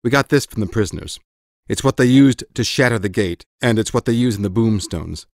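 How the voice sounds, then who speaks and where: A man playing a methodical mage teacher in an audiobook drama, instructing with measured authority. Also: on the low side, no echo, studio quality, natural voice. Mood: instructive.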